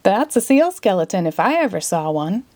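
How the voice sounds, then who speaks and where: A woman's voice: medium pitch, big pitch swings, moderate pace, darkish tone, natural voice. A woman voicing a blunt, assertive female seal in a storybook. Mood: indignant. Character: assertive female seal in a magic show audience.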